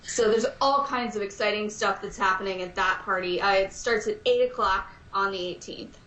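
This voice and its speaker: A woman guest on a podcast who chats loosely and fills her pauses with ums, at an ordinary pitch. The room is echoey and the sound is dull. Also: a bit bright. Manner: chatty, loose, frequent ums. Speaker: guest, audio drama performer. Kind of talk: casual podcast chat.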